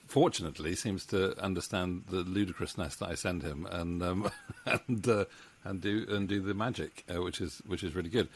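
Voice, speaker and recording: A man with a deep voice moderates a casual chat from a home office, close to the microphone. He speaks haltingly, often pausing and circling back mid-sentence as he hosts. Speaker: interview host, audio drama maker. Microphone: studio mic on boom arm, close.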